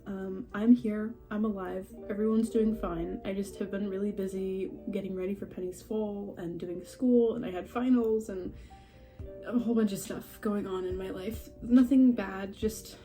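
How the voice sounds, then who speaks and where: A young woman speaks with a stuffy, slightly scratchy voice from a cold, her tone very dark and mellow. She rambles in a chatty, self-deprecating way, often laughing at herself. Faint guitar music plays underneath. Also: mid-range voice, fairly even intonation, moderate pace. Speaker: horse breeder vlogger. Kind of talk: casual update vlog. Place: at home among houseplants.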